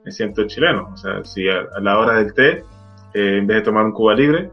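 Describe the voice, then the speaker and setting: A man's voice: on the low side, fairly quick. A man from Venezuela, hosting a podcast in a casual interview style. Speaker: podcast host. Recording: dull lo-fi audio, music behind. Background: background music.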